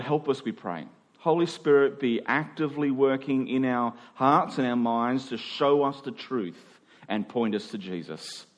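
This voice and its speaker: A man giving a conversational sermon as a pastor, in a halting way, rephrasing and repeating phrases for emphasis. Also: medium pitch. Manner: halting, thinking aloud, with rephrasing and repetition for emphasis. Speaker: pastor, preacher.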